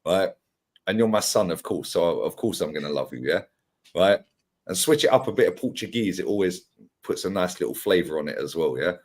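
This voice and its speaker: A man's voice: low-pitched, expressive, fairly quick. A man hosting a football fan panel, with laddish, teasing banter.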